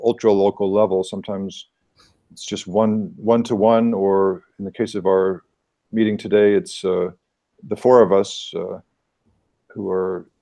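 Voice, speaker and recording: A man's voice: lowish voice, warm tone. A man giving an earnest, explanatory presentation at an online meeting, thinking aloud as he goes, heard through a video call.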